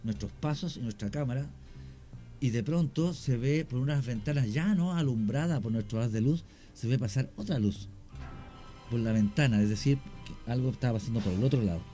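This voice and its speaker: A man, a radio guest slowly telling a paranormal story with many pauses. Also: medium pitch, warm tone, soft background music. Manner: slow, halting storyteller. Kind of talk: radio talk show storytelling.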